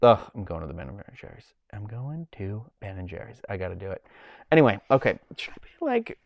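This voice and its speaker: A man's voice: fairly low pitch, very animated, brisk, vocal fry, no room sound, clean studio sound. A man with a Boston accent, hosting a casual livestream from home and chatting in a rambling way while he reads the chat. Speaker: livestream host.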